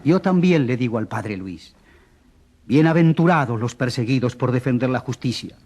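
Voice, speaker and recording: A man's voice: medium pitch, animated, normal speed, darkish tone, soft background music, close to an everyday voice. An actor playing a fatherly, firm bishop who testifies with emphatic oratory in a radio drama. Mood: fatherly, resolute. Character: solemn male bishop of a rural diocese, fatherly, firm.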